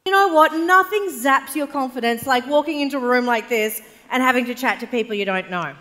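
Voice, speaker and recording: An Australian woman giving a chatty, animated keynote speech to a live audience on a large stage. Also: sits high, a little fast. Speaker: keynote speaker. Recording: reverberant event hall.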